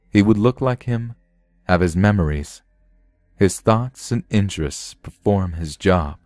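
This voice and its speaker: A man voicing a cold, matter-of-fact security officer in a science fiction story, deliberate and unmoved. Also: low voice, darkish tone, no room sound. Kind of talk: dramatised science fiction audiobook.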